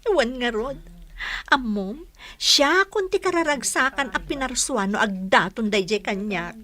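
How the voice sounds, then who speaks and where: Woman acting as a lovestruck young village woman, Filipino accent, coy, high-pitched, swooping intonation, radio comedy-drama. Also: animated, a little slow, bright, forceful tone. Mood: giddy, smitten. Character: sweet, smitten young village woman in love.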